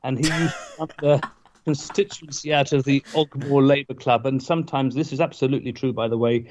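A man, a guest in a casual interview, tells anecdotes with halting restarts and wry asides. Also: medium pitch, steady tone, noisy background. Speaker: guest, former parliamentary candidate. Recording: dull lo-fi sound.

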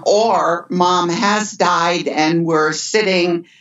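An older woman who works as an end-of-life care nurse, speaking in an earnest, measured way. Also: on the low side, fairly bright tone. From United States. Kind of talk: podcast conversation. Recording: dull lo-fi remote audio.

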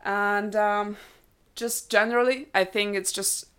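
A woman's voice: mid-range voice, fairly even intonation, measured pace, a bit bright, smooth. A woman in her thirties from Ukraine, a native Russian speaker, chatting as a podcast guest and explaining things thoughtfully with many fillers. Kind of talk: casual chat.

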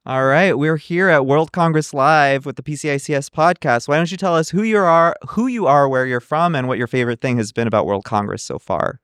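Male podcast host, slightly high voice, dry close sound, upbeat and appreciative casual interviewer. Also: fairly quick, hardly any pauses, fairly bright tone, no echo.

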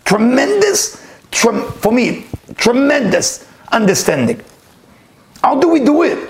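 A man with a fairly high voice talks through a spiritual text in an earnest, informal way. His first language is Hebrew, and he often repeats his points and poses questions aloud as he teaches. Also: big pitch swings, slow pace, a little hiss or hum. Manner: earnest, repetitive, asks himself questions aloud. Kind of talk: informal spiritual lesson, reading and explaining.

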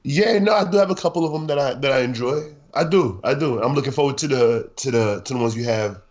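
A man co-hosting a podcast while sick and congested, talking slowly with many hesitations. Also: medium pitch, a little mellow, dull audio. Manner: slow, hesitant, many uhs. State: congested with a cold. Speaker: podcast co-host. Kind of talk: casual podcast chat.

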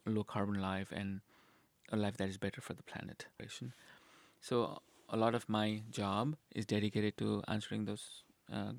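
Gravelly-voiced man from Nepal, earnest. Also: on the low side, no room sound. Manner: earnest, hesitant with many fillers. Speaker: university sustainability director. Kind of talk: interview answers.

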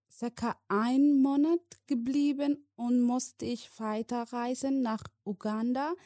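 Podcast guest: woman, 20s, from Somalia. Slow, hesitant, dry sound. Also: on the high side, a little mellow, no echo. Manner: slow, careful, plain sentences with hesitations. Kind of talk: personal testimony in a podcast interview.

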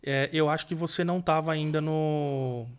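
A man with a Brazilian Portuguese accent hosting a podcast, chatting warmly, laughing often and joking with his guest. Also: fairly high pitch, steady tone, moderate pace. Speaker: podcast host. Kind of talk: casual interview chat.

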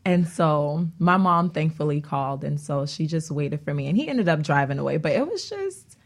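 A woman's voice: low-pitched, very animated, normal speed. A woman telling personal stories in a casual, chatty way, acting out bits of dialogue as a talk show guest. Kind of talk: casual conversational storytelling.

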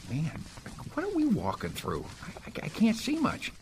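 Guy doing an uneasy young gi, pitch jumps wildly, faint noise behind, radio play. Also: wildly swooping pitch, moderate pace, heavily acted. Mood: nervous. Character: uneasy young American WW2 soldier groping through darkness. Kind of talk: radio drama acting.

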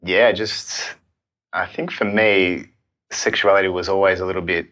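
A man's voice: low-pitched, fairly even intonation, a little slow, a bit bright. An Australian man in his thirties hosting a casual, conversational interview. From Melbourne, Australia. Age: 30s. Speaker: podcast host.

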